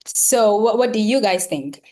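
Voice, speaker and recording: Woman, book club host, relaxed conversational delivery at an everyday mid pitch. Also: unhurried. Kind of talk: casual book discussion.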